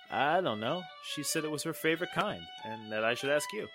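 A man's voice: a little high, lively intonation, moderate pace, music in the background, poor audio. A man playing an earnest, curious young man in a radio drama, with a boyish, anxious manner. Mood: easygoing.

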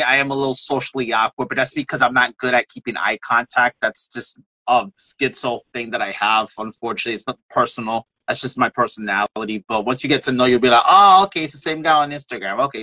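A man's voice: mid-range voice, sharp tone, no echo, poor audio. A man in his late thirties hosting a podcast, talking candidly and casually. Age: 30s. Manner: candid, laid-back, swears casually. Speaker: podcast host. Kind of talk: casual chat.

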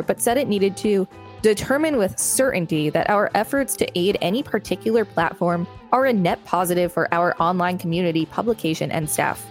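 A woman with a fairly low voice co-hosts a podcast. She alternates between reading articles and casual chat. Soft music sits behind her. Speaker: podcast co-host.

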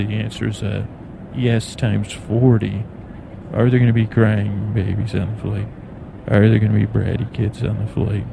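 Clear cabin noise surrounds an American man with a low, gravelly voice. He rambles soothingly as a bedtime podcast host, halting and meandering as he goes. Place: aboard an airplane in flight. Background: steady plane noise.

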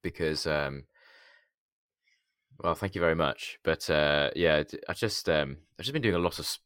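A man with a hoarse, gravelly voice, co-hosting a podcast in casual chat, laughing a lot and stumbling over his words. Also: rumbling low, normal speed, a bit bright, no echo, clean studio sound. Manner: laughs often, stammering restarts. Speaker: podcast co-host.

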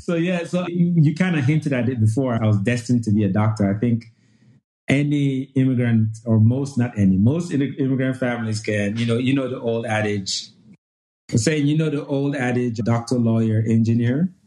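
A man from Nigeria in his thirties, a guest pulmonologist who tells his story in a relaxed way, often restarting and repeating phrases. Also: medium pitch, normal speed, rough recording.

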